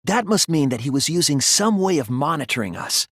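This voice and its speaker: A man playing an earnest, analytical young male detective in an English video game dub, reasoning deliberately and articulately. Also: on the high side, big pitch swings, a bit bright, no echo, studio quality. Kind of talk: English game dub, dramatic courtroom deduction.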